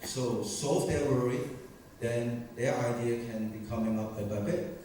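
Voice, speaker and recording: A man's voice: mid-range voice, unhurried, warm tone, slight background noise. Plain-spoken male panelist, echoing hall. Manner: plain short sentences, non-standard phrasing. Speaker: panelist, startup founder. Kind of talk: panel discussion remarks. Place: large conference hall. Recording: echoey conference hall from far off.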